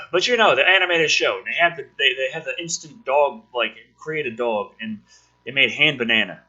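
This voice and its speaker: A man's voice: mid-range voice, lively intonation, normal speed, pushed and bright, slightly rough, dull audio. A man with a slightly sick throat reads a script aloud, adding sweary, sarcastic, exasperated commentary. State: throat trouble from being a bit ill. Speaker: host reading and mocking a film script. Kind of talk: script read-aloud with ranting, joking commentary.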